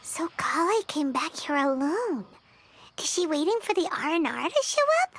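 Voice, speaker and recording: Squeaky, excitable little fairy companion. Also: female voice, normal speed, background noise. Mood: pensive. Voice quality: squeaky, childlike. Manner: exclamatory, refers to self by name. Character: tiny floating fairy companion, childlike, excitable, worried. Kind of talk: video game character acting. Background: background music.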